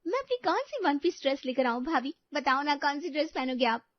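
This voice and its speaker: High-pitched, bubbly young sister-in-law played by a woman. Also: very animated, fairly quick, no room sound, muffled recording. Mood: playful.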